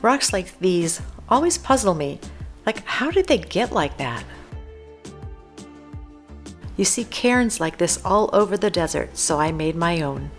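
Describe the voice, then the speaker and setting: A woman's voice: on the low side, big pitch swings, normal speed, faint music behind. An older woman giving a scripted voice-over for a hiking video, informative and guide-like. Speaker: hiking vlogger narrator.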